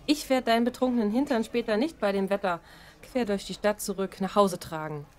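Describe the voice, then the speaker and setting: A woman playing a cheeky, energetic, good-hearted barmaid in her thirties in an audio drama. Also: mid-range voice, a little fast, lightly acted. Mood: scolding, caring. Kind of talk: German audio drama acting.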